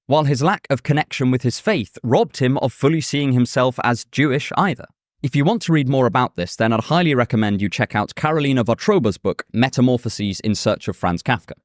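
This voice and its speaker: A man narrating a scripted video essay in a measured, thoughtful way. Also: medium pitch, dry recording, studio quality. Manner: measured, thoughtful, with a casual aside.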